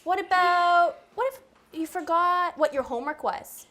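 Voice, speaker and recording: High-voiced woman, an encouraging coach. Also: normal speed, bright, forceful tone, soft background music. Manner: encouraging, checks understanding with questions. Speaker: behaviour therapist teaching a child. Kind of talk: simple step-by-step coaching and explanation.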